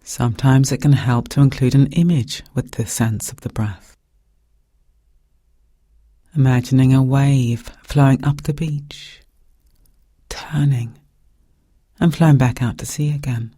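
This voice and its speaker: A man with a soft, breathy voice guiding a meditation in calm, gentle, measured phrases with pauses. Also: mid-range voice, no echo, studio quality. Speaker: meditation guide. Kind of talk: guided meditation narration.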